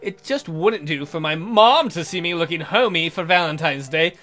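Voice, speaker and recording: A man's voice: fairly high pitch, animated, moderate pace, bright, forceful tone, soft background music. A man hosting a gaming video, reading visual novel narration aloud in a theatrical storytelling manner.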